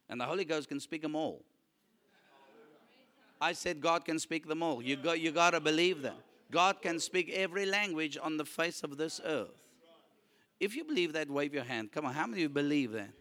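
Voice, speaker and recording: A man whose first language is Afrikaans, preaching a lively sermon and calling out to his congregation for responses. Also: a little high. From South Africa. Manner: animated, calls for audience response.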